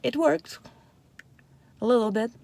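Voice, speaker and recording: Mellow-voiced woman. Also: a little high, a little slow, creaky, dry recording. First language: Russian and Ukrainian. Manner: chatty, unscripted, lots of fillers. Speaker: parenting channel host. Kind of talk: casual talk to camera.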